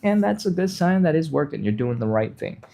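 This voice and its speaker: Male fitness instructor for a livestream. Soft-edged, relaxed tone, a touch nasal from a slight cold, conversational step-by-step guidance. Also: fairly high pitch, a little fast, gravelly. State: mild cold, a little sniffly. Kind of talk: casual live stretching instruction.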